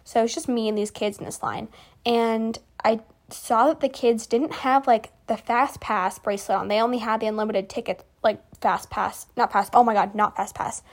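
A teenage girl with a fairly high voice tells stories casually, as if chatting to friends. She rambles and doubles back, leaning on teen slang with an easily exasperated delivery. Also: steady tone, normal speed. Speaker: podcast host.

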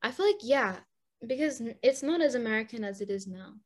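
A woman's voice: a little high, expressive, a little fast, darkish tone. A young woman chatting casually with a friend, teasing her playfully. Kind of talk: casual chat.

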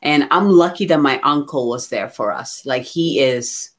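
A woman from Ghana talking candidly and reflectively about herself as a guest, in an expressive conversational way. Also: very deep voice, unhurried. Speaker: guest receiving a card reading.

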